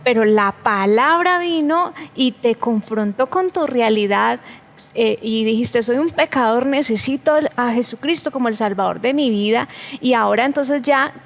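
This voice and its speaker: A Colombian-accented woman hosts a church conversation show, encouraging her guest with short affirmations. She often stumbles and repeats words as she builds a question. Her voice sits fairly high. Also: normal speed. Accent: Colombian Spanish. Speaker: church program host. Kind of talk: faith conversation interview.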